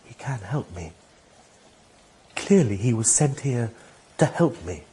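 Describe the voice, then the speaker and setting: An actor playing an earnest, bewildered count seeking redemption in a radio drama, speaking hesitantly and fervently. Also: male voice, mid-range voice, sing-song, slow, warm tone, slightly rough, heavily acted. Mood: chastened, grateful. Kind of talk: British radio drama acting.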